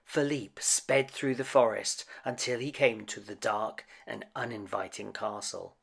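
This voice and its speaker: A man narrates a children's storybook in an expressive, dramatic way. He takes it very slowly, with a gravelly creak in the voice, swelling for emphasis and dropping to a hush. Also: on the low side. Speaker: storyteller reading a picture book aloud.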